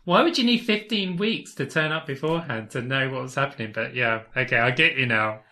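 A man's voice: mid-range voice, brisk, pushed and bright. A man hosting a podcast interview who laughs often and stammers while building his questions. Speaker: podcast host. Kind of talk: casual interview.

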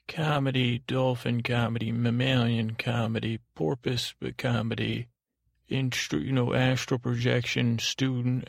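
A man's voice: medium pitch, vocal fry, husky, no echo, clean studio sound. A man hosting a sleep podcast, rambling in a soothing, lulling tone with a hesitant, digressive, self-deprecating manner. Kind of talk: rambling, meandering bedtime monologue.

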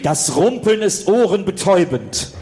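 A man's voice: high-pitched, very animated, normal speed, mellow. A man reading scripted, dramatic narration for a comic audio drama.

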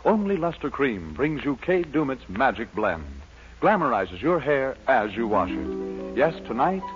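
A man's voice: medium pitch, big pitch swings, a little slow, husky, soft background music. A man announcing a radio commercial, reading scripted copy in a 1940s style. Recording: dull 1940s radio recording, faint crowd noise.

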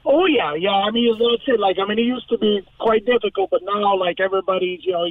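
A man with a high voice chats as a radio guest in a relaxed, hesitant way, with plenty of fillers. His voice comes through thin and tinny, as if over a phone line. The sound is dry. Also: quick pace, no echo. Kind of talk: casual radio interview.

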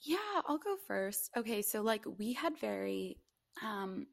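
A woman's voice: mid-range voice, lively intonation, unhurried, vocal fry, no room sound. Female podcast co-host, mid-range voice. Playful and mock-dramatic, conversational, recorded dry. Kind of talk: casual podcast chat.

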